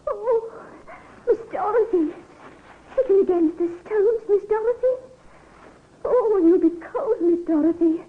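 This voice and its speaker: Very high-voiced timid servant girl. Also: a little slow, long pauses. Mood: dazed, distraught. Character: timid obedient young servant girl, dazed with grief. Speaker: actress. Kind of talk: vintage radio drama acting. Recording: muffled, hissy old radio recording.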